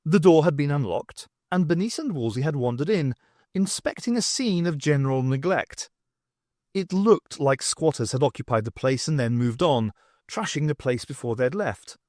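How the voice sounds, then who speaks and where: A man reading scripted fiction as an expressive audiobook narrator. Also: a little high, moderate pace, no echo, studio quality.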